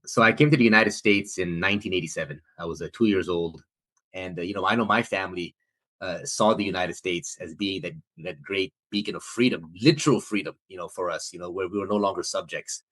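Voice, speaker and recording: A man's voice: sits low, brisk, fairly bright tone, no room sound, rough recording. A man in his forties from India, earnestly telling his family's immigration story with frequent fillers. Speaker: interviewee. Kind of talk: personal story interview.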